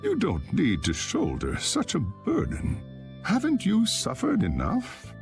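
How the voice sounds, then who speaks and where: A woman playing a grave, weary and compassionate village elder in a game, speaking in a solemn, measured way. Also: lowish voice, wildly swooping pitch, gravelly, a little mellow, faint music behind, heavily acted. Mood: solemn concern. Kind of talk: English game dub acting.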